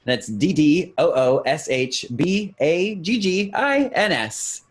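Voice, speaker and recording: An American man chatting casually as a podcast co-host, in a goofy, animated and rambling way. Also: a little high, slow.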